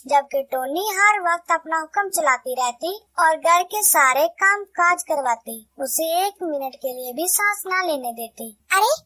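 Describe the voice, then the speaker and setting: A woman's voice: high-pitched, animated, a little slow, hardly any pauses, pushed and bright. A woman narrating a cartoon story in a sing-song storytelling manner.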